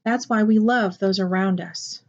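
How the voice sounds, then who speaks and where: Woman reading as a gentle, resolute mother, muffled sound. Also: mid-range voice, measured pace, lightly acted. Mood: hopeful, resolute. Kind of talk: picture book read aloud.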